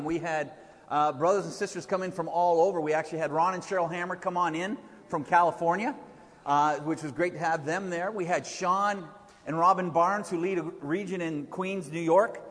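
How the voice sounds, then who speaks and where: A loud, high-pitched middle-aged man preaching from a pulpit. His chatty, joking sermon rolls out into a big church hall, and a long echo trails after each line. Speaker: pastor. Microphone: pulpit microphone.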